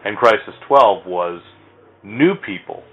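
Low-voiced man on a thin, phone-like recording. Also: slow pace. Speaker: podcast host. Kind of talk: casual comics fan chat.

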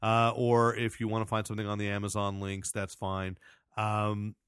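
A man hosting a casual podcast, chatty and rambling, with a loud voice. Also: fairly low pitch, completely flat delivery, moderate pace, clear, resonant tone, no echo, studio quality. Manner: rambling, self-correcting, chatty plugs. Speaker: podcast host and editor.

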